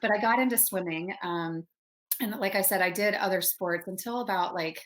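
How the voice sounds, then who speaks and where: A woman's voice: medium pitch, normal speed. A woman in her thirties from Texas, a real estate agent chatting upbeat and casually as a podcast guest. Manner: upbeat, chatty, frequent laughs. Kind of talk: casual interview chat.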